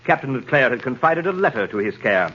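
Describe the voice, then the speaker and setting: An actor playing a scheming ship's officer with a probing, insinuating manner, in 1930s radio drama. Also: male voice, medium pitch, big pitch swings, brisk, sharp tone. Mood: sly probing. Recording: muffled 1930s radio broadcast.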